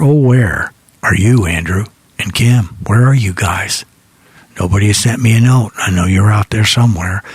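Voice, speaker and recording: A man's voice: fairly low pitch, breathy edge, dry recording. A middle-aged or older man hosting a rock music radio show, chatting in a folksy, rambling way as if thinking aloud on a close studio microphone. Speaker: radio DJ and host.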